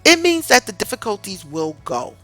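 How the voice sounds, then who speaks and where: A woman reads a scripted self-help audiobook in a deliberate, earnest, instructive way. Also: medium pitch, huge pitch swings, pushed and bright. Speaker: audiobook reader. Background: music in the background.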